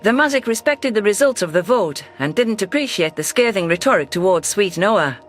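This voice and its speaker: A man narrating a science-fiction story in a steady, measured storytelling manner. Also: sits high, a little hiss or hum. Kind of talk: scripted sci-fi audiobook narration.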